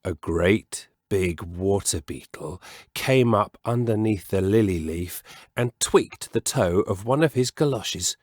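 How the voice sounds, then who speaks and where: A man telling a children's story as a scripted, expressive reading, measured and savouring the descriptive words. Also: fairly low pitch, no room sound, clean studio sound.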